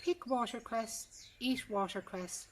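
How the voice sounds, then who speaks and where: A woman's voice: a little high, slow pace, pitched above the performer's own voice. An Irish woman voicing a commanding talking plant in a folk tale, chanting repeated orders. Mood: insistent. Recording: clear background noise with birdsong.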